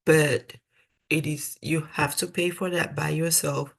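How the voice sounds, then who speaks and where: A woman's voice: low voice, fairly even intonation, unhurried, gravelly, husky. A young woman from Cameroon with a Cameroonian accent, a dental student mentor giving earnest webinar advice and repeating key points for emphasis over a video call.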